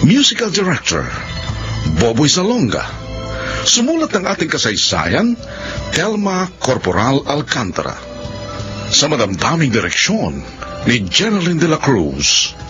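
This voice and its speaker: A man's voice: a little high, wildly swooping pitch, a little slow, long pauses. A man hosting a radio drama, reading scripted announcements in a dramatic, formal style. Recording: dull lo-fi broadcast with music.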